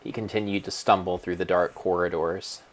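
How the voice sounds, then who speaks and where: Male narrator, low register, theatrical and grave, reading gameplay as an epic tale. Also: fairly even intonation. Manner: theatrical, solemn, mock-epic delivery with drawn-out words. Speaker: streamer narrating own gameplay. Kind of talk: dramatic storybook narration of a video game.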